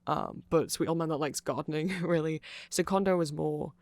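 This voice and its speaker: A man's voice: fairly high pitch, steady tone, moderate pace, no echo. A man giving a rambling ASMR explainer, often correcting himself as he goes. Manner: rambling, self-correcting, chuckling asides. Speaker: ASMR creator explaining band lore.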